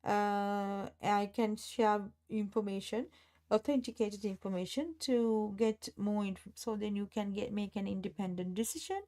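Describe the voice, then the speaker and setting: A woman's voice: medium pitch, fairly even intonation, a little slow, mellow. A woman talking as a study abroad consultant, hesitant with fillers and restarts. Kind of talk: casual promotional talk.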